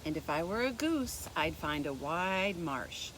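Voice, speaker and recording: A woman reading a picture book aloud as a story time host, speaking deliberately and expressively to young children. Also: fairly low pitch, a little hiss or hum, low-quality recording. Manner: deliberate, expressive, addressing young listeners directly.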